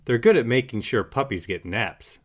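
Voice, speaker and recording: Eager boy puppy played by a man, earnest and excitable, storybook character with lively swoops. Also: medium pitch, normal speed, natural voice. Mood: eager, helpful. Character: eager young male puppy, helpful leader.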